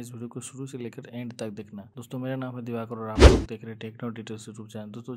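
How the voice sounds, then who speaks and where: A man giving casual, scripted tutorial narration, explaining step by step in a repetitive, instructional way. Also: mid-range voice. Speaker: tech tutorial YouTuber. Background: background music.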